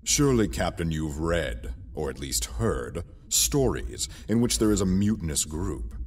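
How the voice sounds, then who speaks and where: A man playing a cold, scheming Imperial governor in an audio drama, deliberate, sneering and commanding. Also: sits low, very animated, a little mellow, a little hiss or hum. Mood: cold threat. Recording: music under the voice.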